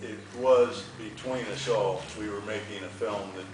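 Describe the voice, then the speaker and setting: A man's voice: lowish voice, steady tone, normal speed. A man, an actor on a film panel, talking informally in a blunt, tough manner. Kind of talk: informal storytelling at live Q&A. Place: movie theater Q&A. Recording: echoey noisy theater, distant mic.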